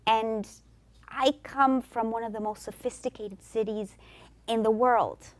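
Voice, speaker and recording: A woman's voice: fairly high pitch, fairly even intonation, a little slow. Emphatic woman from Mexico. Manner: emphatic, repeats phrases for effect. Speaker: panel guest. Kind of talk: discussion panel answer.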